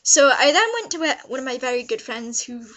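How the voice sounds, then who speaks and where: Young female vlogger, notably high and bright voice, informal rambling enthusiasm for a casual review. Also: lively intonation, fairly quick. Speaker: vlogger reviewing visual novels. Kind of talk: casual review chat to camera.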